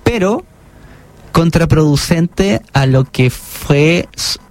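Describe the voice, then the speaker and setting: A man's voice: fairly high pitch, expressive, takes it slowly. A man from Chile with a Chilean accent, an author being interviewed on the radio, talking thoughtfully with many restarts. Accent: Chilean Spanish. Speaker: author and guest.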